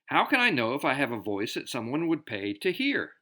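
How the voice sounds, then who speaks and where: A man's voice: mid-range voice, wildly swooping pitch, fairly quick, bright, forceful tone. A man sending in a recorded question to a podcast, joking about himself while asking for advice.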